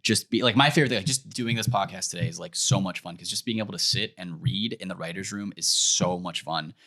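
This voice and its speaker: A man's voice: sits low, fast talker, pushed and bright. A man talking casually as a podcast guest, rambling and joking as he goes. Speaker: podcast guest, sketch comedy writer. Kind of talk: casual chat.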